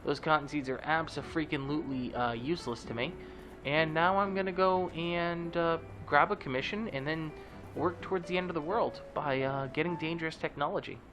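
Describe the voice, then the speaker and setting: A man's voice: on the high side, moderate pace, over music. A man with a stuffy, nasal voice giving casual, joking commentary as a let's play host. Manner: chatty, joking, with many fillers.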